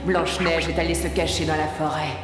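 An actress playing a haughty, menacing sorceress queen in a cartoon, with a deliberate, commanding delivery. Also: low-pitched, level tone, close to an everyday voice. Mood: fervent, menacing. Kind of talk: theatrical cartoon villain dubbing. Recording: echoey hall reverb, music behind.

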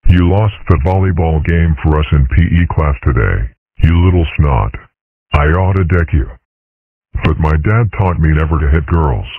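Very deep, husky man voicing a male cartoon animal. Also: normal speed, no room sound, heavily acted. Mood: gruff threat. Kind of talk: comic strip dub. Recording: muffled, narrow phone-like sound.

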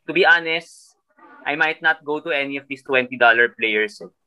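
A man's voice: medium pitch, normal speed, smooth, dull audio. A young Filipino man co-hosting a fantasy basketball show over a video call, chatting casually and mixing Tagalog with English. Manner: switches between Tagalog and English, thinking aloud. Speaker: fantasy basketball podcast co-host. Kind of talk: casual chat.

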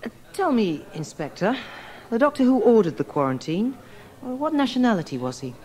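A man's voice: very high-pitched, sing-song, fairly quick, a little mellow. An actor playing a plucky, determined young male reporter and adventurer in a radio drama. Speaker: actor in lead role and narrator.

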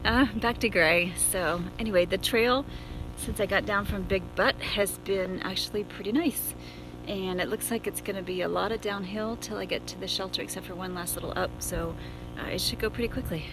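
A woman's voice: mid-range voice, normal speed, over music, poor audio. A middle-aged American woman, tired, talking casually as she records a trail vlog outdoors on a phone at arm's length. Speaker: thru-hiker vlogger. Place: outdoors on a wooded mountain trail.

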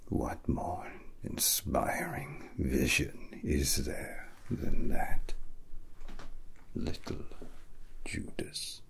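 A young man playing a menacing, arrogant villain scientist in a soft-spoken ASMR roleplay, speaking deliberately with theatrical pauses, close to the microphone. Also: very deep voice, huge pitch swings, vocal fry, breathy edge, full character voice. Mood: smug, grandiose.

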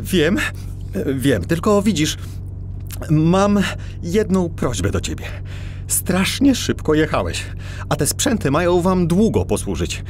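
An actor playing a helpful, proud but cautious animal inventor in a children's audio drama. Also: male voice, a little high, sing-song, takes it slowly, long pauses, a little mellow, a little hiss or hum. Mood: earnest.

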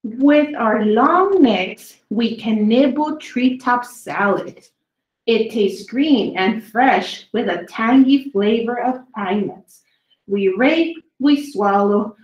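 A woman with a fairly high voice reads a children's picture book aloud. She takes it very slowly, stretching words out for young listeners. The recording is clearly echoey, as in a small bare room. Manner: slow, deliberate delivery with drawn-out words for young listeners. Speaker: children's story reader. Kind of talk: read-aloud of a children's dinosaur poem book.

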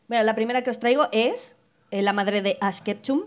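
A woman's voice: fairly high pitch, moderate pace. Mock-outraged young woman. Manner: dramatic, mock-outraged ranting. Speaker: podcast co-host presenting a segment. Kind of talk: casual comedic chat. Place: small bar stage with live audience. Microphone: handheld mic.

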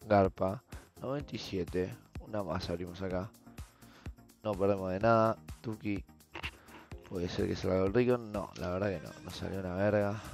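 A young adult man with an Argentine accent and a hoarse voice, commenting casually and slangily as he streams. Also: fairly low pitch, a little mellow. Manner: slangy, offhand. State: hoarse from shouting. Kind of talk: casual gaming video commentary. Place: small room at home, indoors.

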